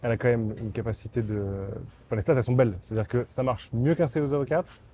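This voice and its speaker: A man's voice: mid-range voice, quick pace, mellow, a little hiss or hum. A man interviewing a guest in a casual, chatty and enthusiastic way.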